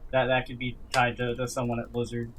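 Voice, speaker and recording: Faint noise sits behind a man with a medium-pitched voice. He chats casually as a podcast co-host, haltingly, doubling back on words as he builds each sentence. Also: monotone, a bit bright. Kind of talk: casual gaming news chat.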